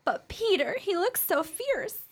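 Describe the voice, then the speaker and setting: A woman's voice: extremely high pitch, very animated, a little slow, heavily acted. A woman playing a genteel, nervous lady of the house in a radio play, fretful and refined in manner.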